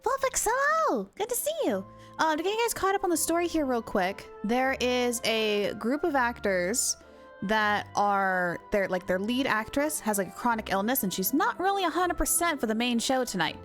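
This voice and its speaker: A woman's voice: on the high side, animated, moderate pace, a bit bright, faint music behind. A woman live-streaming a game, chatting casually with viewers in a bubbly, giggly manner.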